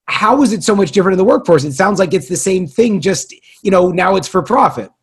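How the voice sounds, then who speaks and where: A man interviewing in a conversational way, halting and wordy as he repeats and rephrases his questions. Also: high voice, expressive, husky. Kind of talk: conversational long-form interviewing.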